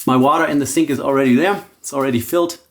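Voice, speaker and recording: A man's voice: medium pitch, fast. A middle-aged man from Germany, a native German speaker with a German accent, talking casually through a shaving demonstration and thinking aloud as he goes. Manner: relaxed, thinking aloud with frequent restarts. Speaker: shaving vlogger. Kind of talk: casual product demo chat.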